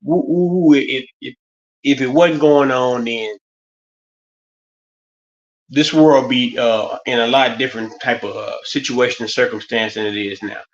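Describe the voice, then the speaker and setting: A man's voice: mid-range voice, a little slow, long pauses. Rambling middle-aged man on a lo-fi stream. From United States. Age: 50s. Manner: rambling, loose, many restarts and fillers. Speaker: stream host. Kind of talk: casual monologue. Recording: slightly dull lo-fi stream audio.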